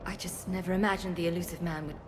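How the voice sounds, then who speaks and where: An actress playing a former research scientist leading refugees, speaking in a measured, earnest way. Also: female voice, lowish voice, steady tone, over music, natural voice. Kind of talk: video game voice acting.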